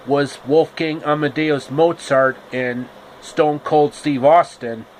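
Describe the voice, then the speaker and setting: A man with a slightly high voice narrates a story. He goes very slowly, in deliberate, halting phrases. A clear background hum runs under the recording. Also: low-quality recording.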